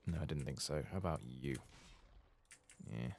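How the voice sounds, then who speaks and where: A man giving soft-spoken, sometimes whispered ASMR game commentary, muttering casually and swearing to himself. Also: a bass voice, animated, moderate pace, creaky, a little hiss or hum. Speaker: ASMR gaming streamer.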